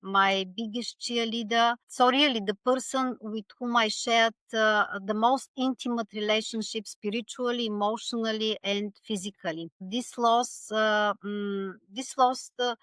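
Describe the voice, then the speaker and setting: A woman from Bulgaria with a Bulgarian accent, a guest speaking earnestly and haltingly in an interview, with many pauses and restarts. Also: on the high side, steady tone, a bit bright, clean tone, no echo, rough recording. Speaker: podcast guest. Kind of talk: reflective interview conversation.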